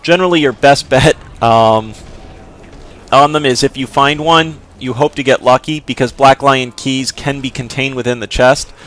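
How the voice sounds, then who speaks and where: A man's voice: mid-range voice, fairly even intonation, normal speed, fairly bright tone. A man casually commentating a game walkthrough, explaining as he plays, with a rambling, hedging way of talking. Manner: rambling, lots of fillers and hedges. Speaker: gameplay commentator.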